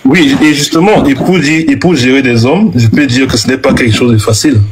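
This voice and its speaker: A man's voice: mid-range voice, fairly quick, a loud crowd, poor audio. Hesitant young man groping for words. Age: 20s. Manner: hesitant, searching for words, many fillers. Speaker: guest in debate. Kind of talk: heated debate.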